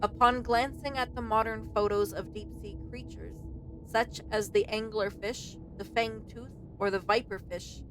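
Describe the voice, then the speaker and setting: High-voiced young woman streaming a game. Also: steady tone, unhurried, noisy background. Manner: informal, reactive, slangy. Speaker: streamer playing and reacting to a game. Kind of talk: casual let's play commentary, reading text aloud.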